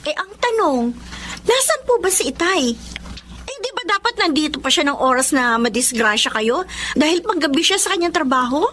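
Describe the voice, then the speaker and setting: A hurt, angry Filipina daughter in a radio drama. Her voice is very high and forceful. She speaks with raw emotion and accusation, as one who stands up to a wayward father. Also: sing-song, normal speed, slight background noise. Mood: worried questioning. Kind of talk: Tagalog radio drama acting.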